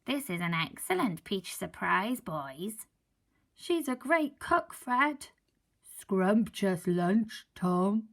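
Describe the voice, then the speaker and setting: A woman's voice: mid-range voice, very animated, slow, gravelly, exaggerated voice. A woman voicing cheeky, greedy seagulls with a cocky, taunting way of talking.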